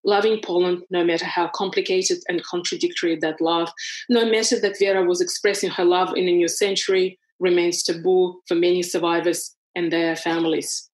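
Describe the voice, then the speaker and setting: A woman's voice: lowish voice, fairly even intonation, moderate pace, low-quality recording. Woman author with a heavy foreign accent. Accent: foreign accent in English. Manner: thoughtful, searching for words, frequent restarts. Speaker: author reading from her book. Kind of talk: reading aloud, then conversational interview.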